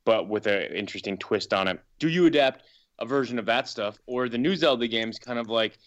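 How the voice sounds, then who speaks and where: A man's voice: mid-range voice, brisk. A man in a casual podcast discussion as a guest filmmaker, rambling with lots of "like" and speaking in an opinionated, emphatic, casually sweary way. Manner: rambling, hedging with frequent "like".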